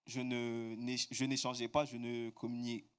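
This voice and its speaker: A man's voice: medium pitch, monotone, moderate pace, clear, resonant tone. A man with an ordinary mid-range voice interprets a sermon phrase by phrase. He hesitates often, filling gaps and correcting himself as he goes. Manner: hesitant, frequent fillers and self-corrections. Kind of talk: consecutive interpreting into French.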